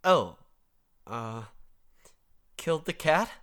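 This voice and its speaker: An actor playing a frightened young man in an audio drama, stammering and pleading haltingly. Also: medium pitch, lively intonation, fairly bright tone. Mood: scared, faltering. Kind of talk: scripted audio drama acting. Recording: roomy echo, music behind.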